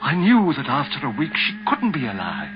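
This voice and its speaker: An actor playing a guilt-ridden, murderous husband in a melodramatic old radio thriller, brooding and given to frantic outbursts. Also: male voice, on the high side, huge pitch swings, moderate pace. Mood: desperate agitation. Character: guilt-ridden murderous husband, desperate, haunted recluse narrating his crime. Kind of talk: 1940s radio thriller acting, dramatic first-person confession. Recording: muffled vintage 1940s radio recording with music.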